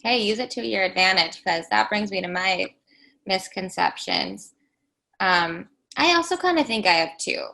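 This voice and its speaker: A young woman with a high, bubbly voice co-hosts a casual podcast chat. Her tone is bright, and some vocal fry runs through it. She sounds upbeat and friendly. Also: big pitch swings, normal speed, rough recording. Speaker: podcast co-host.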